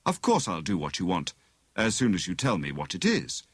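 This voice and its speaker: A man's voice: sits low, huge pitch swings, moderate pace, husky, no echo, clean studio sound, heavily acted. A man voicing a cheerful, flippant young adventurer in an audiobook, bantering breezily. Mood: breezy. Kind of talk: dramatised audiobook dialogue.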